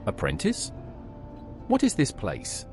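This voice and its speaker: A man's voice: mid-range voice, wildly swooping pitch, slow. A man voicing a curious, agreeable adventurer in a fantasy game, speaking briefly and inquisitively. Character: curious, agreeable male adventurer questioning locals. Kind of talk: AI-voiced fantasy game dialogue. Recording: music behind the voice. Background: game music.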